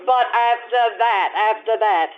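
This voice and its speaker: An actress playing a haughty, sharp-tongued young bride-to-be from high society, with an imperious, sarcastic delivery in an old-time radio style. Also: female voice, a little slow, lightly acted. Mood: accusing. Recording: tinny band-limited stage radio show recording.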